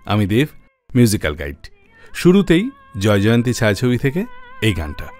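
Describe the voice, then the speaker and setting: Expressive low-voiced man hosting a radio show. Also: brisk, faint music behind. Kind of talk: scripted music-show narration, storytelling.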